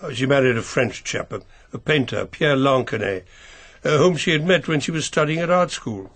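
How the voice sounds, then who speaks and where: An actor playing a proper, reserved older English family solicitor, precise and a little hesitant, in a radio mystery drama. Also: male voice, mid-range voice, animated, normal speed, a bit bright. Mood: measured recollection.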